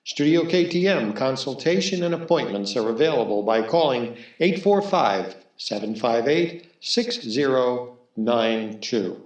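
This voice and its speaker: A man reading a scripted broadcast announcement as a radio theatre announcer, formal and measured. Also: mid-range voice, expressive. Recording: roomy, echoing sound.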